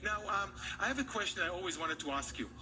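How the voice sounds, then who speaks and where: A man's voice: high voice, animated, quick pace, music in the background. A man from Israel performing as a stage magician, speaking showy patter to an audience and a guest.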